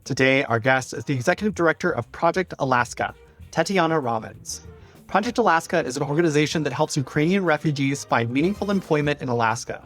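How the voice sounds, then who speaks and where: A man hosting a podcast, reading a scripted introduction and asking plain questions in a measured way. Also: a little high. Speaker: podcast host.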